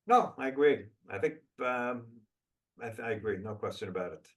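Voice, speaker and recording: A man's voice: mid-range voice, animated, moderate pace, distant mic. A man hosting a video call briefing, answering questions bluntly and thinking aloud with restarts.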